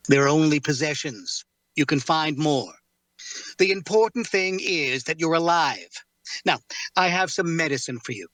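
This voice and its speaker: Casting: actor for controlled, faintly threatening male host and jailer, crisp formal diction, forceful edge, close dry sound, drama read. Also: a little high, expressive, no room sound, low-quality recording. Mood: calm, controlled. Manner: measured, formal, precise phrasing. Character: composed, faintly menacing male captor tending his prisoner. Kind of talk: audio drama table read.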